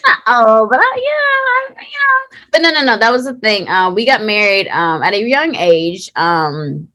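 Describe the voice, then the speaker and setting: A woman in her late twenties from Texas, an actor being interviewed, talking in a chatty, lively way and laughing often. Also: on the high side, measured pace. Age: late 20s. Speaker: actor guest. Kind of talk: casual interview chat.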